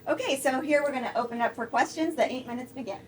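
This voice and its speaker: A woman's voice: sits high, fast talker, recorded from a distance, slight background noise. A woman moderating a conference question session, friendly and informal, correcting herself as she goes.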